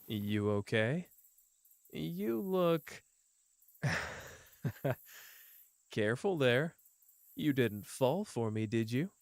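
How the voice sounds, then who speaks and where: A man's voice: medium pitch, sing-song, extremely slow, creaky, pauses often, dry recording, exaggerated voice. A man voicing a teasing, world-weary young man. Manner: soft, teasing, drifting between playful and bleak. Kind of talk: ASMR roleplay.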